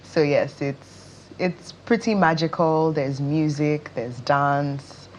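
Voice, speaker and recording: Low-voiced, unhurried Nigerian woman. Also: a little hiss or hum. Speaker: interview guest, stage actress. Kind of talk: casual TV interview.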